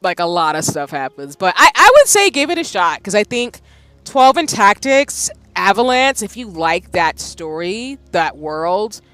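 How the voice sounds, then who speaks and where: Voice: medium pitch, a bit bright, clean tone, no room sound. A streamer in a casual stream chat who rambles and corrects herself mid-sentence. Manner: chatty, rambling, self-correcting.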